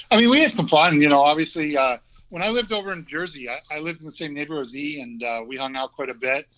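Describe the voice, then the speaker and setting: A man in his fifties with a Vermont accent, chatting easily as a podcast guest and often pausing with ums and restarts. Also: on the high side. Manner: relaxed, hesitant, many ums and restarts. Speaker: guest, former hockey player. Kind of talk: casual interview chat. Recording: dull lo-fi audio.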